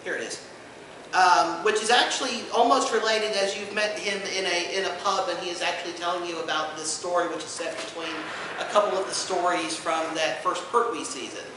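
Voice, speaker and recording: A very echoey, noisy room carries this man's voice from some distance. He is presenting at a fan panel in a high-pitched voice, informal and detailed in his explanations. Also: fairly even intonation, brisk. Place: convention panel room, indoors.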